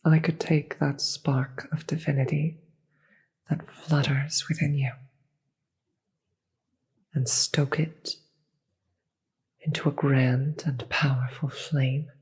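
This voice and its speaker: Demon queen played by a voice actor for fantasy audio drama: regal, persuasive, very slow and measured, husky with whispered edges. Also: mid-range voice, level tone. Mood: tempting, intimate. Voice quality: breathy, often dropping into whisper. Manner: slow, formal, measured, grave. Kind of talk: scripted fantasy audio roleplay monologue.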